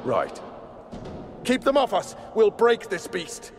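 An actor playing a stern, earnest templar knight in a video game, grave and commanding. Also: male voice, high voice, very animated, slow, background noise, full character voice. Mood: urgent.

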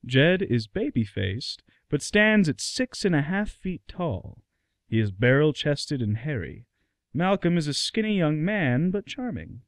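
Animated male radio play narrator. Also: on the high side, unhurried, no echo.

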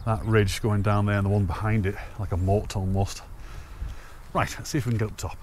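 A man in his thirties with a lowish voice talks into a handheld camera while walking outdoors. He is chatty and enthusiastic. Faint outdoor ambience sits behind him. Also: level tone, fairly quick, a little mellow, a little hiss or hum. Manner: chatty, enthusiastic, laughs to himself. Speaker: hiking vlogger. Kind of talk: casual walking commentary. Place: outdoors on open fells and woodland paths. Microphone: handheld camera at arm's length.

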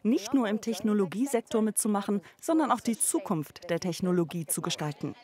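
A woman's voice: mid-range voice, lively intonation, brisk, darkish tone. A woman speaking for a company in an interview, with a confident, upbeat manner, recorded on a lapel mic at an indoor event. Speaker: company representative.

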